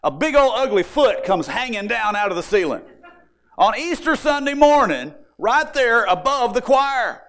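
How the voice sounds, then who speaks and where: A man preaching a sermon, moving between folksy, conversational storytelling and Bible teaching, with dramatic pauses. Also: very high-pitched, big pitch swings, fairly bright tone, slight background noise.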